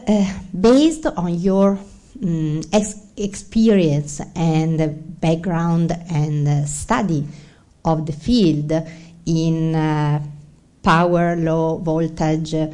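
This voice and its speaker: Italian woman, Italian native, thesis opponent, slow and measured, low mellow voice. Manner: careful, measured questioning. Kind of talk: academic questioning at a PhD defense.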